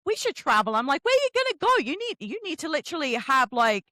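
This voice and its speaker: A woman's voice: high voice, big pitch swings, quick pace, pushed and bright, no echo, studio quality. A woman from Kent, chatting casually and effusively as she hosts an interview, often joking. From Kent, England. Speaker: book podcast host interviewing an author.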